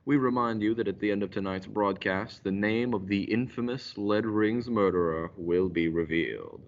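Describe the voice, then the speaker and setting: A man's voice: on the low side, normal speed, natural voice. A man playing a theatrical, portentous announcer in an old-time radio mystery. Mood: ominous. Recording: muffled recording with faint noise.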